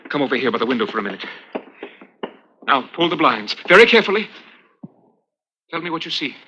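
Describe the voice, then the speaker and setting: An actor playing an urgent, hunted American spy in an intense, secretive 1950s radio suspense style. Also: male voice, mid-range voice, big pitch swings, fairly quick, bright, forceful tone, breathy edge, exaggerated voice. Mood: tense, secretive. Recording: muffled 1950s radio recording, music behind.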